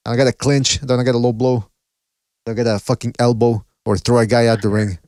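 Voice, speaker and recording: A man's voice: mid-range voice, level tone, fairly quick, no echo. Dry-voiced casual podcast host man. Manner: casual and profane, thinking out loud. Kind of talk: casual boxing podcast chat.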